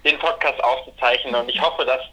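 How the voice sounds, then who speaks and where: A man's voice: mid-range voice, fairly even intonation, brisk, over a noisy crowd. A man chatting casually and effusively as a podcast co-host calling in over the phone. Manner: effusive, sentimental. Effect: phone call. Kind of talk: casual chat. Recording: phone call into the show.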